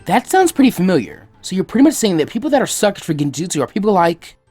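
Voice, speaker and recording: Guy doing an eager curious young ninja girl, raised pitch, talks quick. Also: big pitch swings, darkish tone. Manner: inquisitive, eager. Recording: faint music behind the voice.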